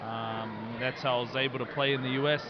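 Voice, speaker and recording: Brief: New Zealand man, relaxed rugby captain, mid-pitched voice, muffled outdoor recording with clear traffic noise. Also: fairly quick. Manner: easygoing, laughs often, optimistic. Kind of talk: casual outdoor interview.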